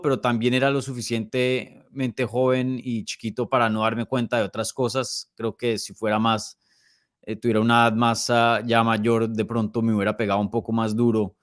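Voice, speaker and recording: A man in his early thirties with a Colombian accent, talking casually as an interview guest with hesitant, pausing phrasing. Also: mid-range voice, no room sound, clean studio sound. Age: early 30s. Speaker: podcast guest, MMA journalist.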